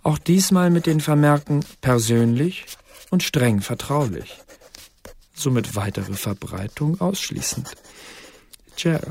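A man's voice: medium pitch, animated, slow, gravelly, darkish tone, a little hiss or hum. An actor playing a dry, officious male intelligence clerk, narrating secret files formally and matter-of-factly. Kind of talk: radio drama narration.

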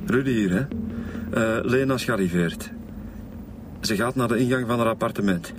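A man's voice: mid-range voice, normal speed, noisy background, close to an everyday voice. An actor with a Flemish accent playing an informal, wry male police inspector in a radio drama. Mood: watchful. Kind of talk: naturalistic radio drama dialogue. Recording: music behind the dialogue.

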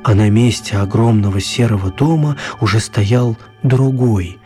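An actor playing a storyteller, narrating a children's radio tale with measured dramatic pauses. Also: male voice, lowish voice, mellow, close to an everyday voice. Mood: dramatic narration. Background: music.

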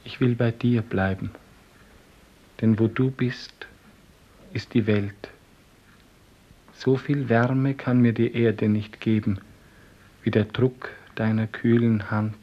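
An actor playing a devoted, dreaming clerk in love, speaking solemn poetic lines in a 1950s German radio play. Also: male voice, mid-range voice, steady tone. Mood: fervent, solemn. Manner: solemn, poetic, slow declamation. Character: devoted dreaming office clerk, tender and rapturous lover. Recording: dull 1950s radio recording.

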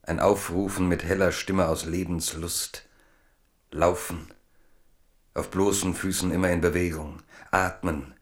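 Really deep guy narrating a literary radio drama, slow and solemn. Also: fairly even intonation. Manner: measured, solemn.

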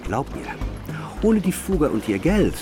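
An actor playing a bold, cunning schemer in a historical German radio drama, talking with theatrical, persuasive confidence. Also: male voice, a little high, sing-song, a little slow, over music.

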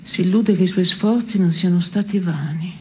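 An actress playing a blunt, disenchanted daughter in an absurdist radio stage play, with terse, deadpan delivery. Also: female voice, fairly low pitch, normal speed, a little hiss or hum, natural voice. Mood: wry. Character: blunt, disenchanted daughter recounting family indignities.

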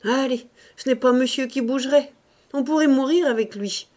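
A woman voicing a flustered, grumbling female servant who scolds and complains loudly, reading from a classic novel. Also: high voice, normal speed, voice pushed up, close to an everyday voice. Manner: muttering, scolding, loud complaints.

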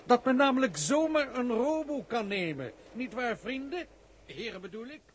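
A moralising official in a sixties radio play. His high voice rises and falls widely as he lectures, pompous and rhetorical. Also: sing-song, normal speed. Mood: lecturing. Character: formal, moralising male official delivering a lecture. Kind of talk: 1960s Dutch radio drama acting.

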